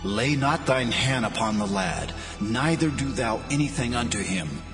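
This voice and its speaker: A man playing a solemn angel of the Lord, proclaiming in a measured, grand scripture-drama manner. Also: mid-range voice, lively intonation, natural voice. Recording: long heavenly reverb, music behind.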